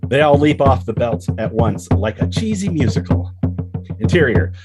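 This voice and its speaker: A man's voice: medium pitch, very animated, moderate pace. A man reading screenplay stage directions as the narrator of a scripted table read. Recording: loud music under the voice. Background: loud instrumental music.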